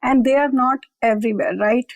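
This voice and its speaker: A woman's voice: sits high, steady tone, a little fast, no echo. A woman researcher being interviewed, explaining carefully and often adding "I will say". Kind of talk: conversational expert interview.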